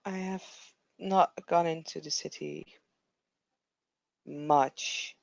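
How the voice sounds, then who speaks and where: This is an earnest, formal female werewolf from a quiet pack, voiced by a Dutch-accented man in a tabletop game. The voice sits a touch high with a gravelly edge. The words come measured and a little halting, recorded close and dry. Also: fairly even intonation, no echo, dull audio, heavily acted. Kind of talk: in-character roleplay.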